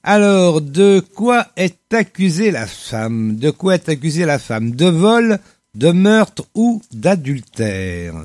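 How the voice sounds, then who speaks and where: A man with a cold and a slightly hoarse voice, hosting a radio quiz and reading Bible passages aloud with joking asides. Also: on the high side, very animated, slow pace, a little mellow, no room sound, clean studio sound. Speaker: radio host. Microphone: studio microphone.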